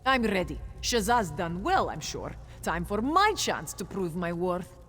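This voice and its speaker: A woman's voice: on the low side, big pitch swings, unhurried, a bit bright, soft background music. An actress playing a fierce, hot-tempered young female cat-folk warrior in a video game, bold and emphatic. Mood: confident. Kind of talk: video game character acting. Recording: long reverberant hall, clear background noise.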